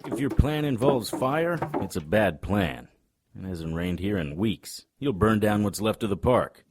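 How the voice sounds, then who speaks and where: A man playing a gruff, world-weary supernatural investigator in an audio drama, speaking in a wry, terse and insistent way. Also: on the low side, lively intonation, a little slow. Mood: wary, exasperated. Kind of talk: audio drama acting and first-person narration.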